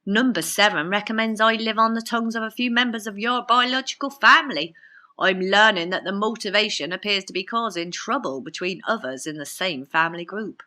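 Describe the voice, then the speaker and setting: A woman's voice: medium pitch, a little fast, sharp tone, natural voice. A woman voicing a meddling imaginary personality who is earnest, preachy and fussy, in a storybook reading. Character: meddling, chatty imaginary inner personality, preachy spiritual adviser.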